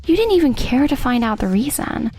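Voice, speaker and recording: An outspoken young office woman, voiced by an actress for a manga dub over music. The voice is high and expressive, assertive and protective. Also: brisk. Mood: indignant, protective. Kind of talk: English manga dub acting.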